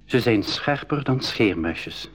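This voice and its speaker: A man's voice: medium pitch, lively intonation, slow pace. A man playing an inquisitive expedition member who narrates a school radio adventure. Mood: storytelling. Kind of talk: scripted radio drama narration and dialogue.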